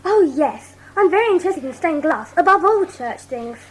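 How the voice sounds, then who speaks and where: Spirited young girl for audiobook drama; high, animated, keen. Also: normal speed, rough recording.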